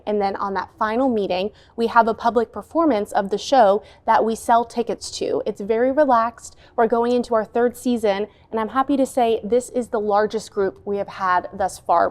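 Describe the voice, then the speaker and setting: A woman's voice: a little high, darkish tone. A woman speaking as an interview guest, fluently promoting a program in an upbeat, welcoming manner. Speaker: associate artistic director, interview guest.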